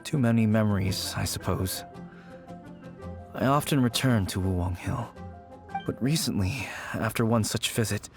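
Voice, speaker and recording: A man's voice: on the low side, normal speed, vocal fry. An actor voicing an anxious man in a video game, hesitant and worried, haunted by memories of a lost friend. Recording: studio game voice with music behind.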